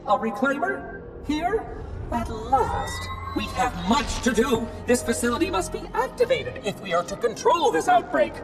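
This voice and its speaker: An actor playing an ancient machine caretaker in a game cutscene, formal, clipped and matter-of-fact. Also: male voice, extremely high pitch, big pitch swings, a little slow, far from the mic, over music, full character voice. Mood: eager. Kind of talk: video game cutscene acting.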